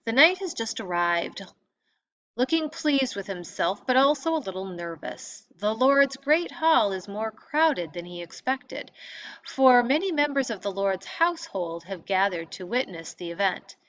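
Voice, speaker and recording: A woman teacher reading a textbook lesson aloud in an expository way, pausing to stress key terms. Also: medium pitch, big pitch swings, fairly bright tone. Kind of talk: read-aloud lesson narration. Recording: dull lo-fi audio with clear background noise.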